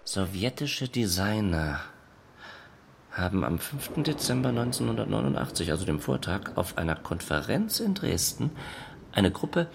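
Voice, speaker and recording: A man narrates a literary text in a low voice with a gravelly edge. His delivery is measured and formal, setting things out factually like an official report. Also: very animated, a little hiss or hum.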